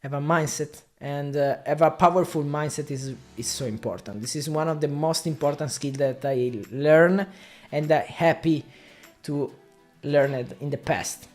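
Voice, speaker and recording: A man's voice: fairly high pitch, moderate pace, darkish tone, soft background music. A man from Italy with an Italian accent, a native Italian speaker talking casually to camera in non-native English, often stopping and restarting as he explains his work as a media buyer. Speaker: digital marketing media buyer.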